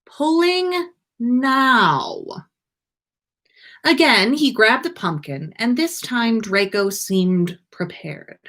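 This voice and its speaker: Female storyteller, animated and unhurried, reading fiction aloud with a very expressive delivery. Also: mid-range voice. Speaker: podcast host reading fan fiction aloud.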